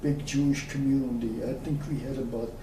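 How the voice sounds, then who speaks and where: A man, recalling his life in an oral history interview, slow and hesitant with many pauses. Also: medium pitch, steady tone, warm tone. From Austria. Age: 90s. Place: room at a community center. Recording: echoey room, distant mic, faint hiss.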